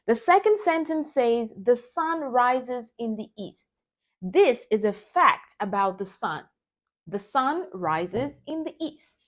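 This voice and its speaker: A young adult woman whose first language is Yoruba, teaching a beginner English grammar lesson slowly and carefully. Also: a little high, expressive. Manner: slow, careful, reads example sentences deliberately.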